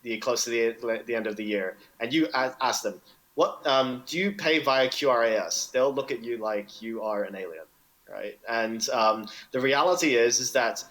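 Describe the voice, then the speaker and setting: A man's voice: medium pitch, normal speed, fairly bright tone, poor audio. Talkative man peppering answers with "right?". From Indonesia. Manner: peppers speech with "right?" and "you know". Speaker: fintech product executive, guest. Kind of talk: conversational interview answer.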